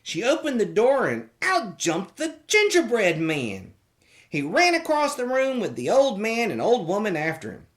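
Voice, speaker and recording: Kids storytime host reading a picture book, super animated, theatrical and playful. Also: mid-range voice, moderate pace. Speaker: host of a children's read-aloud show.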